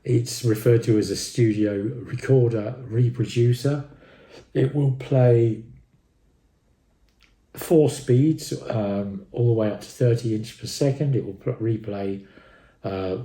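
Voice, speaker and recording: A man's voice: lowish voice, slow, mellow. A British man presenting an informal, unscripted equipment review, talking in a rambling, hesitant way as an enthusiast. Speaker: presenter of an audio enthusiast vlog. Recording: roomy, echoing sound.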